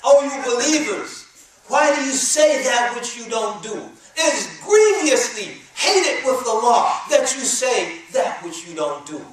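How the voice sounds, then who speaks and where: A man preaching a sermon with a high, ringing voice, reciting verses quickly in a second language and pressing his points with rising emphasis. He is picked up from some distance, and his voice booms around a very echoey room. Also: very animated. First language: English.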